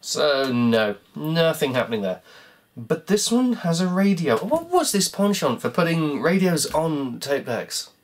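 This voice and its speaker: Croaky tinkerer talking to themself. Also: mid-range voice, big pitch swings, unhurried. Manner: rambling, self-mocking running commentary. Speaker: hobbyist repair vlogger. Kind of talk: thinking aloud while taking apart a cassette player.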